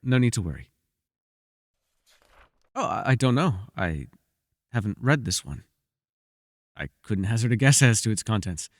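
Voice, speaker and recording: Man voicing a wry bookish elf, animated and echoing. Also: medium pitch, lightly acted. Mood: flustered, evasive. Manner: wry, wordy and formal, flustered when pressed. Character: bookish, witty, guarded male elf companion. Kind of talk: fantasy ASMR roleplay acting.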